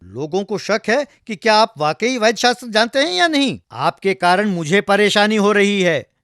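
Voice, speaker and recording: A stern king from a comic folktale, acted by a male storyteller. He sounds impatient and commanding, with a high male voice. The recording is very dry. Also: expressive, moderate pace, a bit bright, no room sound. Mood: displeased. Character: stern, impatient king scolding his subject.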